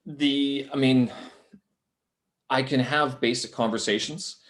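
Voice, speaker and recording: A man's voice: mid-range voice, fairly even intonation, slow, a bit bright. A man hosting a podcast, chatting casually with a guest and adding joking asides. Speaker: podcast host. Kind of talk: casual interview chat.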